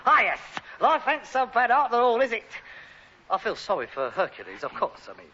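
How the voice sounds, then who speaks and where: A man's voice: very high voice, wildly swooping pitch, moderate pace, sharp tone, dull audio, heavily acted. An actor playing an emotional, opportunistic rag-and-bone man, the put-upon son, with a London accent in a British sitcom. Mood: gleeful.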